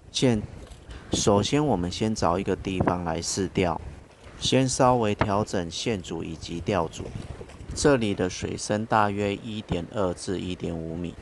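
Chatty low-voiced man outdoors by the sea. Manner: chatty, upbeat, explains as he goes. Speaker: fishing channel host. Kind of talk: narrating a fishing outing. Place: outdoor seaside fishing spot. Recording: outdoor wind and noise.

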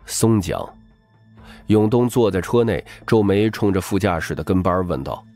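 A man's voice: sits low, normal speed, warm tone, soft background music. A man narrating an audiobook as a dramatic scripted story.

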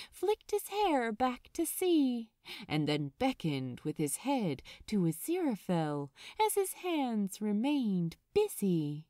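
A woman narrating a story in a dramatic, deliberate way, with emphatic pauses. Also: on the high side, dark tone, no room sound, clean studio sound. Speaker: audiobook narrator of fan fiction. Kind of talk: expressive scripted story narration.

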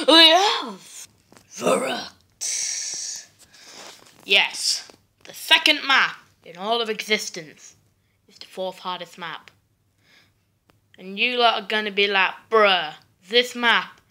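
Woman, gaming commentator, bright high voice, animated and slow, slangy and brash, voice a touch rough from a cough. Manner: slangy, brash, swears for effect. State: coughing, voice a bit off. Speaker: gaming video creator.

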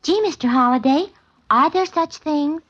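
A woman playing a naive, scatterbrained young secretary, wide-eyed and slow on the uptake, in a 1940s radio drama. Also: sits high, natural voice. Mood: puzzled, innocent. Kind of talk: 1940s radio comic-relief acting. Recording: dull vintage radio recording.

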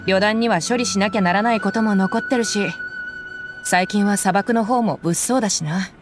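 A woman voicing a tough young mercenary who talks in a rough, casual way in a game cutscene. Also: medium pitch, moderate pace, music in the background, close to an everyday voice. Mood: easygoing. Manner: rough, casual, gruff slang. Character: tough young female mercenary, blunt, easygoing. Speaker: game character.

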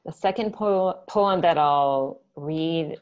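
A woman poet reading her own poems on a video call in a soft, measured way, with short spoken asides between them. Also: low-pitched, muffled recording.

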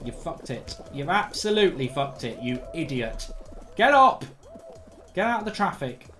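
A hoarse man in his thirties doing live, blunt, sweary commentary while streaming a game from a desk indoors. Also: a little high, big pitch swings, moderate pace, a bit bright, soft background music. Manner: blunt, sweary, rambling banter.

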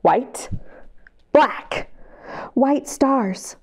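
A woman librarian reading picture books aloud at family storytime, animated and sing-song, gasping with delight and asking young listeners questions. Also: high voice, extremely slow, breathy edge. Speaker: children's librarian.

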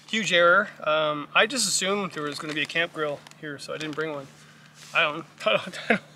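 A man's voice: on the high side, fairly even intonation, normal speed, pushed and bright, recorded from a distance, a little hiss or hum. A middle-aged man talking casually at an outdoor lakeside campsite, chatty and self-mocking, sometimes tripping over his words. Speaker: vlogger on a canoe trip. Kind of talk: casual camping vlog chat.

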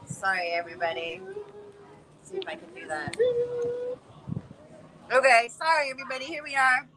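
A woman's voice: sits high, big pitch swings, moderate pace, vocal fry. A woman interviewing casually, with effusive, encouraging interjections between her questions. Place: outdoor terrace by a beach. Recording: outdoor recording with background noise.

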